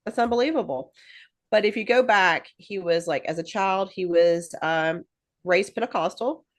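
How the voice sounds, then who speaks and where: A woman's voice: medium pitch, moderate pace. A woman co-hosting a podcast in casual chat, rambling with lots of fillers and restarts and laughing easily. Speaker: podcast co-host.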